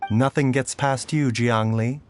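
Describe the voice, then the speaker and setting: An actor playing a composed, courteous, quietly resolute male doctor in a video game, with polite, formal, measured speech. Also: medium pitch, steady tone, faint music behind, natural voice. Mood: calm, courteous.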